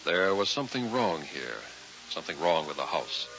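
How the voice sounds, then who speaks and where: An actor playing an uneasy minister in a vintage radio horror drama, speaking in a deliberate, brooding, hesitant way. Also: male voice, sits low, big pitch swings. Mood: haunted, tense. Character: uneasy ordained minister narrating his own ghost story. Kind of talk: vintage radio horror drama, first-person narration and dialogue. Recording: dull vintage radio recording with music.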